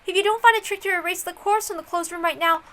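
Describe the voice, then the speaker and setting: A woman's voice: very high-pitched, fast talker, pushed and bright, voice pushed up. A woman voicing a needling, formally polite young female detective rival in a visual novel reading. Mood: pressing, taunting.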